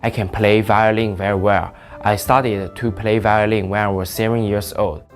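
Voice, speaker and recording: A man's voice: lowish voice, little pitch movement, normal speed, over music. A man from China, a student, speaking hesitantly with fillers and repeated words. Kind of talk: recorded personal statement.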